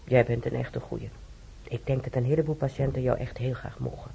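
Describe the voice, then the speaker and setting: Dark, creaky motherly older patient. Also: very deep voice, fairly even intonation, a little fast, exaggerated voice, poor audio. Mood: reassuring. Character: older female hospital patient, motherly, consoling, easygoing. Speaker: radio play actor. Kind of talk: naturalistic radio drama dialogue.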